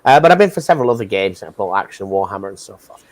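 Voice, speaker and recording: British man, fairly low voice, livestream guest, casual chat, blunt, reflective. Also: very animated, very fast.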